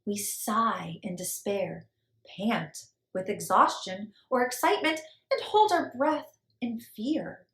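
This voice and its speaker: A woman's voice: medium pitch, expressive, slow pace, a bit bright. A woman hosting a children's storytime, reading and talking to young listeners and their parents. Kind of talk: read-aloud explanation and friendly sign-off for children. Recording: echoey room.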